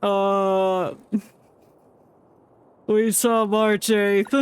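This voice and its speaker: A woman's voice: mid-range voice, flat delivery, drawn-out words, clean tone. A woman giving casual, rambling livestream commentary on a game and reading its text aloud. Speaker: game streamer. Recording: game music faintly behind the voice.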